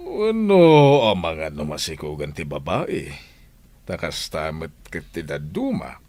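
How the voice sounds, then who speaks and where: A hearty older village father from a radio drama. His deep voice swings widely as he questions and exclaims, inquisitive and fatherly. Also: wildly swooping pitch, slow pace, a little mellow, exaggerated voice.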